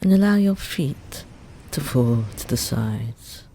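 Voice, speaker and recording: Slow, soft-toned meditation guide over ocean waves. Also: mid-range voice, creaky. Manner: gentle, soothing, unhurried instruction with long pauses. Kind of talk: guided Yoga Nidra meditation narration. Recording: steady ambient noise under the voice.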